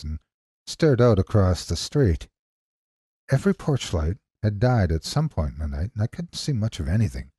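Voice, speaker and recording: A man reading a scripted horror story as narrator, with a husky voice and deliberate, dramatic pauses. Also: deep voice, dark tone, no echo, studio quality.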